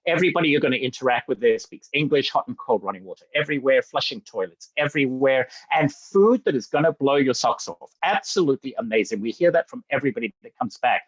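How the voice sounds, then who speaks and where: A man's voice: mid-range voice, dry recording, lo-fi sound. Upbeat man from Zambia selling safaris. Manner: energetic, fast-talking, frequent fillers and restarts. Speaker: sales director presenting. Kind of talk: enthusiastic webinar presentation.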